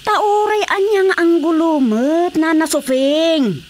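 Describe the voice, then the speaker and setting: A woman's voice: very high-pitched, takes it slowly, darkish tone, slight background noise. An actress with an Ilocano accent playing a lovestruck, indignant village woman in a radio comedy drama. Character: lovestruck, indignant woman sure of her suitor.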